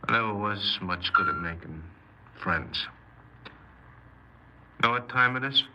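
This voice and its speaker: Actor as low-voiced sullen young man. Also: unhurried, dull audio, lightly acted. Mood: hesitant, subdued. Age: young adult. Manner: hesitant, offhand. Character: sullen, aimless young man confessing his crimes. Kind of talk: 1950s radio drama acting. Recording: noisy old 1950s radio recording.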